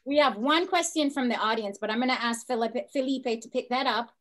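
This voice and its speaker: A woman's voice: on the high side, fairly quick. A middle-aged woman moderating a panel on a video call in an affirming, encouraging manner. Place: plain indoor room. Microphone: computer on a video call.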